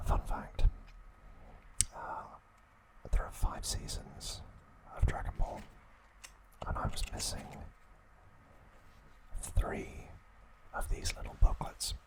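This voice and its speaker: A man hosting ASMR trivia, speaking softly in short, unhurried phrases with gentle pauses. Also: poor audio. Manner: unhurried, soft, pausing between short phrases. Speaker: ASMR host. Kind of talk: whispered ASMR trivia narration.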